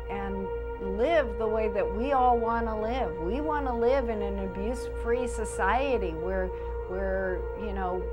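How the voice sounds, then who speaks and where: A forty-nine-year-old woman hosting her own show, speaking to the camera in an earnest, halting way with frequent restarts. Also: medium pitch. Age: 40s. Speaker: show creator and host. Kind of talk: personal address to camera.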